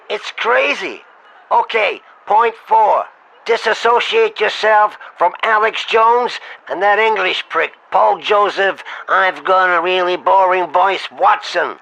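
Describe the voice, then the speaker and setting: A man's voice: sits high, vocal fry, bright, forceful tone, full character voice. A man playing an exasperated, foul-mouthed talent agent in a comedy phone-call sketch, blunt and sarcastic as he scolds his client. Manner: blunt, scolding, sarcastic, talking over the other.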